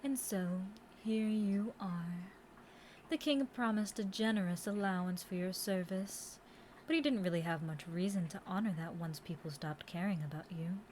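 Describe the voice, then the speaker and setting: A woman's voice: medium pitch, normal speed, a little mellow, slight background noise, lightly acted. A woman playing a seductive, condescending immortal Demon Queen in a theatrical, taunting audio roleplay monologue. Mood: condescending, mock pity.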